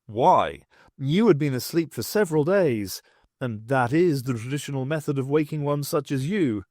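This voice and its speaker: A man's voice: mid-range voice, lively intonation, normal speed, darkish tone, no room sound, clean studio sound, close to an everyday voice. Man doing a smug courtly fairy-tale prince. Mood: gallant. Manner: courtly, formal and self-assured.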